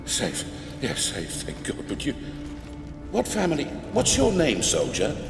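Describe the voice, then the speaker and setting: A man's voice: mid-range voice, wildly swooping pitch, measured pace, gravelly, exaggerated voice. An actor playing a cowardly, imperious Roman emperor in a video game, frantic and theatrical in his delivery. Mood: shaken. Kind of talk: dramatic video game acting. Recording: echoey hall, loud music behind.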